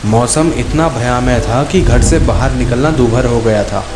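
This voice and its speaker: A man's voice: mid-range voice, measured pace, reverberant, noisy background. A man narrating a scripted children's story as a storyteller.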